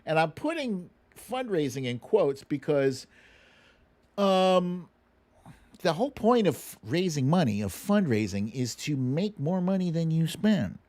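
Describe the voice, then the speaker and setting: A man hosting casual, comic political commentary as storytelling, emphatic and slangy, with swearing and dramatic pauses. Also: a little high, very animated, darkish tone. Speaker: host of a political commentary show.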